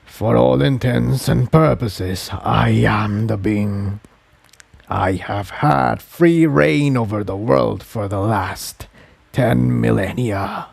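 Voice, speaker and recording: A man puts on a huge, ancient dragon villain for a storybook. The voice is low and gravelly, in a dry, close recording. Each phrase comes slowly and deliberately, smug and threatening. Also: a little mellow, no room sound, full character voice. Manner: slow, deliberate, menacing.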